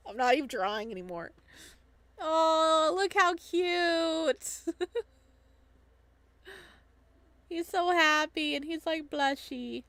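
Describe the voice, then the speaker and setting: A woman's voice: sits high, lively intonation, very slow, long pauses, a bit bright, dry recording. A woman streaming a visual novel, reading narration aloud and reacting casually in a giggly, gushing way.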